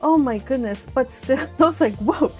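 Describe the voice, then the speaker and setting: Giggly, rambling woman host, higher voice. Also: normal speed, soft background music. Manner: giggly, rambling, frequent laughter. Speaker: host of a read-along stream. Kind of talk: casual chat with participants.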